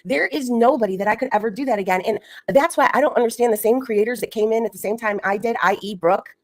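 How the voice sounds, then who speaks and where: A woman's voice: a little high, quick pace, a little mellow, no room sound, clean studio sound. Indignant woman co-host in her fifties. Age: 50s. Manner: indignant, lecturing, repetitive. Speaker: livestream co-host. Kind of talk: casual livestream chat.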